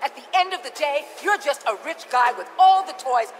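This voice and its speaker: A woman's voice: sits high, lively intonation, moderate pace, a little hiss or hum. A woman playing a hard-nosed government agency chief in a video game, blunt, authoritative and combative. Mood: angry, scornful. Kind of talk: dramatic video game acting.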